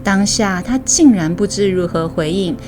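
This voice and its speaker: Casting: female Taiwanese story host, scripted narration, mid-low pitch for a woman, music underneath. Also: normal speed. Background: music bed.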